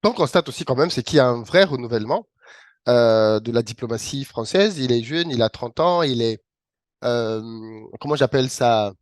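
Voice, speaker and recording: A man's voice: mid-range voice, animated, moderate pace, no echo, studio quality. A man interviewing a guest, making short remarks and hesitating as he searches for words.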